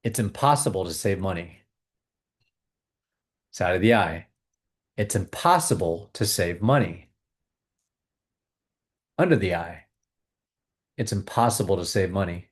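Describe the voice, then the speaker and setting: A man hosting a video, calmly guiding viewers through a tapping exercise with repeated phrases. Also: deep voice, unhurried, pauses often. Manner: calm, repetitive, coaching tone. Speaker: host leading a tapping exercise. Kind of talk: guided tapping exercise and money talk.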